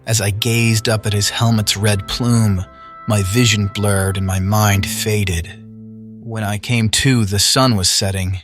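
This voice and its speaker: A man's voice: lowish voice, fairly even intonation, takes it slowly, music in the background. A man playing a humbled knight in an audio drama, telling his tale in a solemn, formal way. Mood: ashamed, solemn. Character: Calogrenant, humbled male knight recounting his defeat.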